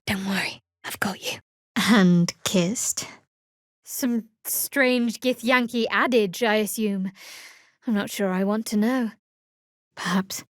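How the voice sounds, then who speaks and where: A woman acts out a young female cleric from a fantasy video game, guarded and secretive. Her voice sits on the high side and is recorded close and dry. She speaks in a measured, wry way, with a sardonic edge. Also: dry recording, lightly acted. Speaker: video game character voice actor. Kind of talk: video game voice lines, dramatic dialogue and spell calls.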